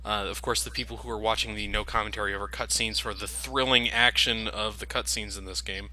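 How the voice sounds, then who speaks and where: A man's voice: fairly low pitch, steady tone, brisk, bright, forceful tone. A man commentating over a video game, joking sarcastically and swearing freely. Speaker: let's play commentator. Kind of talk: casual gameplay commentary.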